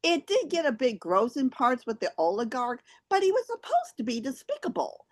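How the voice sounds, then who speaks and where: A woman's voice: high voice, very animated, normal speed. A woman reading out theater reviews as a show host. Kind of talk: scripted review read aloud.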